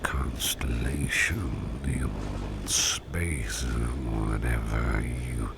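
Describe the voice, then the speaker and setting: Rumbling, sleepy monster boss. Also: male voice, steady tone, heavily acted. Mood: tender, sleepy. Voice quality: very deep, gravelly, breathy murmur. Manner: drowsy, halting, mumbling with drawn-out words. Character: sleepy, gluttonous, protective monster businessman boss with talons. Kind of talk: ASMR roleplay. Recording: heavy background noise.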